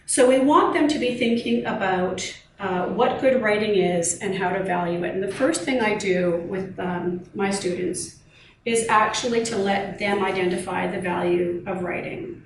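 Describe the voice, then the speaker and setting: A woman giving a measured, explanatory workshop presentation as a university lecturer, speaking to colleagues. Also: mid-range voice. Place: meeting or seminar room. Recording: echoey room, distant mic, noisy background.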